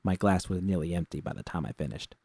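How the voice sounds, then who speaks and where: Guy reading a story out loud, low husky voice, really dry recording. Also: animated, quick pace, no room sound. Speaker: narrator of fan fiction. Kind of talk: scripted first-person story narration.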